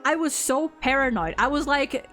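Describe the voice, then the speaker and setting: A woman with a fairly high voice chats casually about gaming. She is animated and gushing, often slipping into quotes as she explains. Soft music plays behind her. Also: normal speed. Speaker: co-host ranking game story quests.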